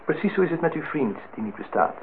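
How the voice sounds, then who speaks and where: A man's voice: a little high, big pitch swings, quick pace. An actor playing an earnest, wistful man in his thirties in a radio drama, speaking formally and reflectively. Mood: earnest, resigned. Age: 30s. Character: earnest, wistful 32-year-old man in love, self-reproaching. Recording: thin phone-like sound, noisy old radio recording.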